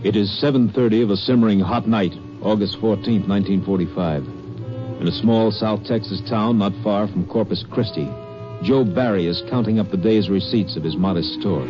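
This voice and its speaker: A man's voice: lowish voice, moderate pace, muffled recording. A man announcing and narrating a radio drama in a formal, dramatic 1950s style. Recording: old radio recording with loud music.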